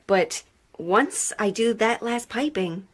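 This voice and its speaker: A woman hosting a hobby craft vlog in a medium-pitched voice. She talks casually and thinks aloud, rambling through her process with filler words and a self-deprecating manner. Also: lively intonation, takes it slowly, husky. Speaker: video host and hobby historical seamstress.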